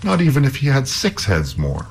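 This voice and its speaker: A man's voice: medium pitch, wildly swooping pitch, moderate pace, a little hiss or hum, voice pushed up. A man voicing a stubborn, fearless young hero, defiant and emphatic, in a storybook folk tale. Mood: stubborn defiance.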